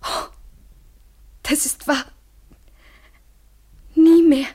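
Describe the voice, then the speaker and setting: An actress playing an anguished, submissive woman desperately in love, breathy, in an intense poetic radio play. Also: high-pitched, steady tone, drawn-out words, dark tone.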